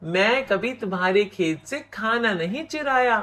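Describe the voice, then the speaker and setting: A woman voicing a lazy, thieving male crow husband in an animated moral story, sheepish and protesting with drawn-out words. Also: mid-range voice. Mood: cheeky protest.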